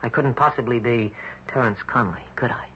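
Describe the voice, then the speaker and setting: A man acting a desperate, brooding young patient in an old-time radio drama, earnest and deliberate in his delivery. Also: medium pitch, bright, forceful tone, lightly acted. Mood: deliberate, challenging. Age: 20s. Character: haunted, desperate young man trapped in another's body. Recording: muffled, noisy old radio broadcast recording.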